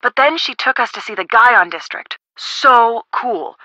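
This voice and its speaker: A young woman, a game detective's friend with a very bright, forward voice pitched on the high side. She is casual and chatty. The sound is dry and a little dull. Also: lively intonation, normal speed, no room sound. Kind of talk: video game voice acting.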